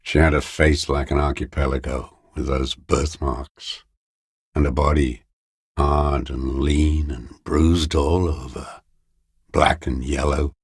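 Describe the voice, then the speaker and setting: Bitter old deserter, extremely low voice, husky rasp, slow contemptuous brooding, weary, video game monologue. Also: male voice, flat delivery, dry recording, studio quality, full character voice. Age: older. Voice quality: gravelly, husky, raspy.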